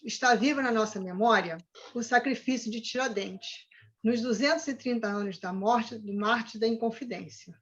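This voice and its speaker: A woman architect reading prepared remarks from home over a video call, steady and formal with small slips. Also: fairly high pitch. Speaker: architect, club cultural director. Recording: dull lo-fi video call audio.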